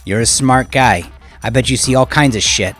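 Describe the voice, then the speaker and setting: A man's voice: medium pitch, normal speed, a bit bright, soft background music, pitched above the performer's own voice, natural voice. A man playing an ambitious young gangster in an audio crime story, coaxing and reassuring as he asks probing questions.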